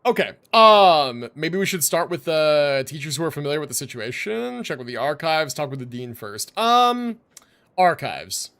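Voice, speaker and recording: A man hosts a casual game livestream on a streaming microphone. His voice sits fairly high and moves around a lot in pitch. He rambles in slang and swears offhandedly. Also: sing-song, moderate pace, a bit bright, smooth. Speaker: livestream host. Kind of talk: casual gaming stream chat.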